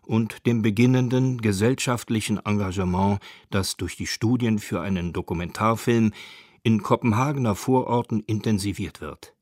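A man's voice: fairly low pitch, no room sound, clean studio sound. A man reading scripted narration for a radio documentary.

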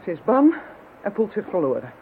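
Tinny recording, woman acting determined psychic lady, swingy pitch, emphatic, radio drama. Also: very animated, a little fast. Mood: assured. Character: fierce, determined woman leading psychic fight against villains. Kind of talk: Dutch audio drama acting. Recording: thin phone-like sound, faint noise.

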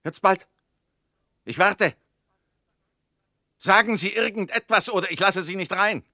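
A man's voice: high-pitched, a little slow, sharp tone, no echo, studio quality, full character voice. Tense, fearful, suspicious man. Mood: agitated demanding. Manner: insistent, demanding, short clipped sentences. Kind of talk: German radio play acting.

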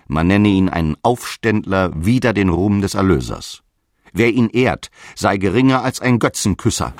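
An actor playing a stern, zealous apostle who preaches and commands in solemn scriptural language for an audio drama. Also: male voice, sits low. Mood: condemning, severe. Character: Paulus, stern zealous male apostle, guardian of the faith.

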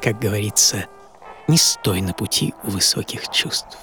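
An actor playing an earnest, dreamy storyteller who recalls a poor boyhood, in a theatrical, reflective radio monologue. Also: male voice, lowish voice, lively intonation, unhurried. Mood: proud, reflective. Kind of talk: dramatic first-person radio monologue. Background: music.

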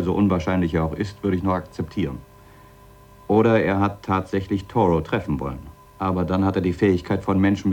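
An actor playing a sceptical space lab astronaut in a radio drama, speaking in a laconic, sardonic and deliberate way. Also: male voice, deep voice, a little mellow, close to an everyday voice.

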